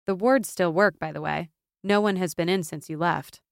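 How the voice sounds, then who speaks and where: A woman's voice: fairly low pitch, moderate pace, creaky, clear, resonant tone, no room sound, studio quality. A woman voicing a loyal, stubborn young woman in a fantasy audiobook, insistent and impassioned. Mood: brisk, helpful. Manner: insistent, impassioned, gaining momentum. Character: fierce, stubborn, loyal young woman, pleading best friend.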